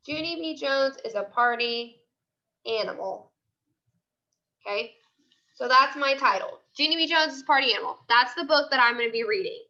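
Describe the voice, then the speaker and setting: A woman's voice: high-pitched, normal speed, long pauses, sharp tone, muffled recording. A woman teacher giving a read-aloud lesson to third graders, emphatic and repeating her instructions.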